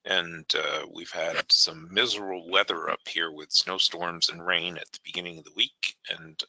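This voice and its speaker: A man hosting a software webinar, his voice gravelly and hoarse, with frequent ums and throat clearing. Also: low voice, level tone, no room sound, poor audio. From New England, United States. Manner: halting, many fillers, clears throat. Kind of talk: online software tutorial. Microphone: online meeting audio.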